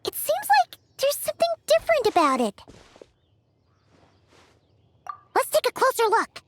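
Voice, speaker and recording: Tiny childlike game fairy: very high, squeaky, bouncy intonation, excitable and quick to take offense, noisy backing. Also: female voice, heavily acted. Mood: amazed, curious. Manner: chirpy, expressive, refers to self by name. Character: Paimon, tiny childlike floating fairy companion, cheerful, excitable, easily miffed. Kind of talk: video game character dialogue.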